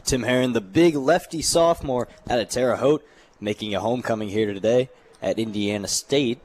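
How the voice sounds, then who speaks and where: A man's voice: medium pitch, moderate pace, darkish tone. An American man giving conversational analysis as a color commentator on a live radio baseball broadcast.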